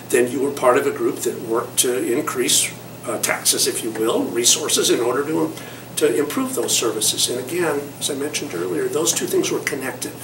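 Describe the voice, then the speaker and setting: Older man recalling, echoey and distant. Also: mid-range voice. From Southern California. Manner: rambling, thoughtful, many fillers. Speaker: award recipient interviewee. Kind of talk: reflective interview recollection. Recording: echoey room, mic far off, noisy.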